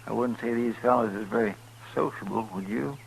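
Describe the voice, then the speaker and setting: Man playing gravelly, folksy western sidekick. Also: mid-range voice, fairly quick, close to an everyday voice. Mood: folksy, uneasy. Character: chatty, folksy, deferential frontier deputy sidekick. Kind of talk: 1950s radio western acting. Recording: faintly noisy 1950s radio broadcast.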